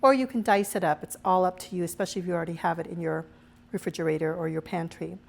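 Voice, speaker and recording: A woman hosting her own cooking show, her voice a little raspy as she recovers from a cold, chatting through each step as she cooks. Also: lowish voice, steady tone, fast talker. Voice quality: slightly raspy. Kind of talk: casual cooking demonstration.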